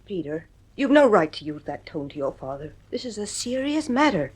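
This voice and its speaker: Medium-pitched, worried mother. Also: very animated, slightly rough. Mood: earnest concern. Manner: earnest, measured pleading. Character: worried, nervy, reasoning mother and wife. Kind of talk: 1950s radio drama acting.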